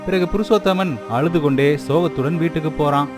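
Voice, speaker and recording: Male narrator, children's moral story: scripted storytelling, fairly high pitch, faint music bed. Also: a little fast.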